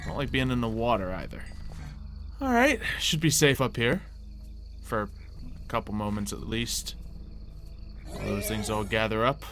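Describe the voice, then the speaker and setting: A man giving casual gameplay commentary, thinking aloud in a mild, halting way. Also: on the low side, expressive, noisy background. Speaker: gamer playing a Minecraft roleplay series. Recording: game music behind the voice. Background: game music.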